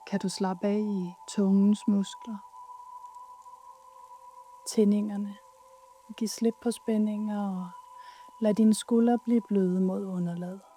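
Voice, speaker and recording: A woman leading a guided yoga nidra meditation in a soothing, soft, unhurried way with pauses. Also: medium pitch, steady tone, slight background noise.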